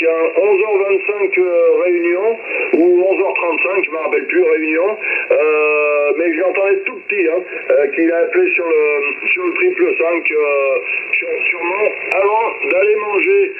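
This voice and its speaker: High-pitched man on tinny, noisy radio. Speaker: amateur radio operator. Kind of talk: casual radio chat.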